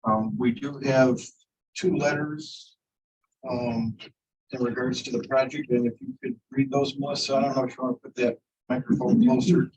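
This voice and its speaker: A man chairing a village council meeting, moving through the agenda haltingly with many ums. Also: medium pitch, expressive, darkish tone, slightly rough. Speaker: council president. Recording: dull, lo-fi meeting audio.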